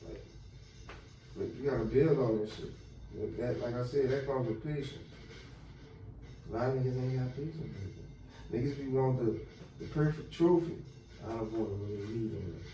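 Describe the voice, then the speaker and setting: A man's voice: mid-range voice, normal speed, long pauses, warm tone, a little hiss or hum. A man co-hosting a podcast in casual chat, blunt and profane, full of slang. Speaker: podcast co-host. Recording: echoey room, far from the mic, faint music.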